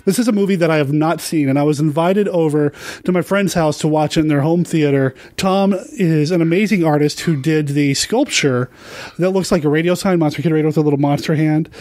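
A man's voice: a little high, brisk, darkish tone, dry recording. A man hosting a podcast in a conversational, informal way. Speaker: podcast host.

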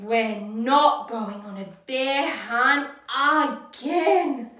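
A woman's voice: fairly high pitch, drawn-out words, sharp tone. A young woman reading a picture book aloud to children in a theatrical, exaggerated way. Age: young adult. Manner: theatrical, exaggerated expression with dramatic gasps. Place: small indoor classroom. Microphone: camera a short distance away. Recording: echoey room, slightly distant microphone.

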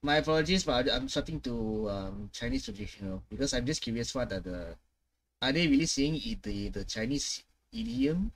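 A man's voice: mid-range voice, sing-song, normal speed. A man in his twenties giving casual reaction commentary, often hesitant as he thinks aloud. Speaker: gaming reaction video host. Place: small room at home.

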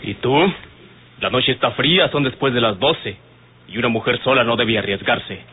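A man's voice: mid-range voice, moderate pace, noisy background, lightly acted. Actor as an earnest young man, tinny. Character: earnest young suitor, courteous and resolute. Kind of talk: old Mexican radio drama acting. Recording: tinny old radio recording.

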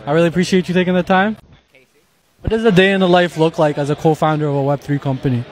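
A man's voice: fairly high pitch, steady tone, fast. A young man, asking short casual questions as a street interviewer outdoors. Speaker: interviewer, host. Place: outdoor patio at an event. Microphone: handheld interview mic.

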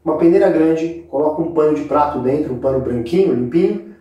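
A man's voice: a little high, brisk, dark tone, dull audio. A man in his forties with a Brazilian accent, casually explaining a recipe step by step to viewers in an informal, instructive way. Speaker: cooking show host. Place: indoor kitchen set with brick wall. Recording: very echoey room, distant microphone.

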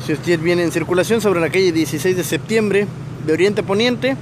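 A man's voice: high-pitched, a little fast. A man gives a live, filler-heavy street report on a crash, filmed on a phone outdoors. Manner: informal reporting with many fillers. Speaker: local news reporter. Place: busy city street outdoors. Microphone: phone camera, reporter off screen. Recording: outdoor street with traffic noise.

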